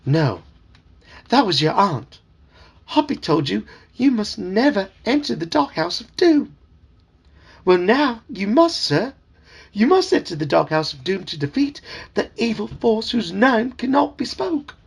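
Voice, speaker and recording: A squeaky, high-pitched little frog who fawns and fusses, calling everyone sir, as a dad reading aloud puts him on. The voice is gravelly and husky underneath and swings widely in pitch. It belongs in a bedtime storybook. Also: male voice, sing-song, unhurried, exaggerated voice. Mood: fussy. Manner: servile, calls everyone sir. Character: fawning talking male frog sidekick.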